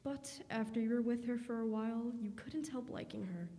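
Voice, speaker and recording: A woman's voice: on the high side, fairly quick, mellow, close to an everyday voice. A woman reading scripted narration and announcements for a radio drama. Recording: echoey hall.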